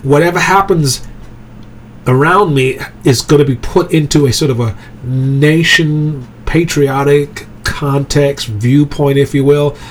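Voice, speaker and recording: A man's voice: fairly high pitch, a little slow, a little hiss or hum. Man giving a casual Bible talk. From grew up in England. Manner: conversational, checks in with "okay?" and "right?". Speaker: Bible study teacher. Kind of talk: informal Bible teaching podcast.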